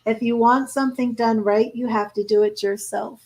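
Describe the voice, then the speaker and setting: A hoarse woman losing her voice, giving a live tarot reading and reading card meanings aloud between casual asides. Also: on the high side, fairly even intonation, normal speed, darkish tone. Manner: reads card meanings aloud, then chats and restarts. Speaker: tarot reader.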